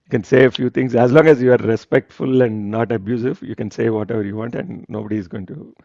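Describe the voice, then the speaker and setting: A man's voice: mid-range voice, fairly even intonation, quick pace, darkish tone, dry recording, dull audio. A man from India hosting an online reading group, chatting casually, laughing often and hesitating with many fillers.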